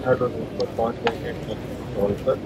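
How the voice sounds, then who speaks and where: A man's voice: mid-range voice, fairly quick, noisy background. A man casually showing viewers around his exhibition stall, explaining step by step. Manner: relaxed, explains step by step, fillers like "bole to". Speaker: exhibitor giving a stall tour. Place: busy exhibition hall.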